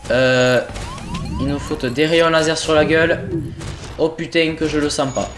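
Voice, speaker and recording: A man's voice: a little high, big pitch swings, a little slow, fairly bright tone. A young man with a cold, casually commentating his own gameplay, exasperated and swearing as things go wrong. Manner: swearing, exasperated, talking to himself while playing. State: sick with a cold. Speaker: gaming video host. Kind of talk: casual let's-play commentary.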